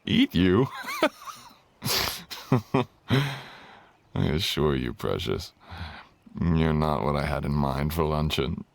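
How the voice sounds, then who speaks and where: A man's voice: rumbling low, gravelly, full character voice. A man playing a courtly, teasing, tender dragon king in human form, speaking intimately as a lover in an ASMR roleplay. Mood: playful teasing. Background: music.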